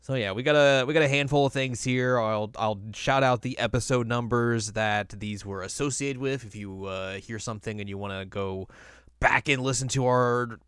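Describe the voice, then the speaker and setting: A man's voice: medium pitch, moderate pace, fairly bright tone, clear, resonant tone, dry recording, studio quality. A man hosting a podcast in a casual, chatty style. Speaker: podcast host. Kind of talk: casual chat about video game films.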